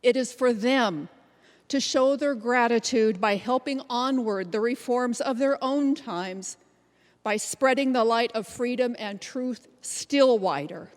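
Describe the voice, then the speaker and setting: A woman's voice: fairly high pitch, slow pace. An American woman performing a scripted reading in a readers theater. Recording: reverberant hall recording.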